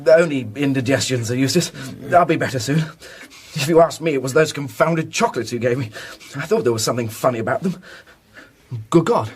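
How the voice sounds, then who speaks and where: An actor playing an amiable upper-class English gentleman in a period radio drama, polite and mild-mannered. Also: medium pitch, lively intonation, fairly quick, breathy edge. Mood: unwell, worried.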